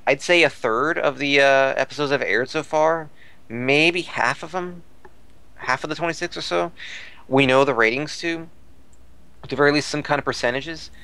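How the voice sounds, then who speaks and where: A man's voice: on the high side, fairly bright tone, clear, resonant tone. A man talking casually as a podcast commentator about fan news, rambling and repeating phrases as he searches for words.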